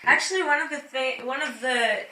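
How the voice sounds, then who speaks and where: A woman with a bright, mid-range voice chatting as a guest performer. The room is echoey and she sits a bit back from the mic, talking informally with the group. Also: high voice, brisk. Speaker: guest radio theatre cast member. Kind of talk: casual group chat. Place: indoor rehearsal space. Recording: roomy, distant mic.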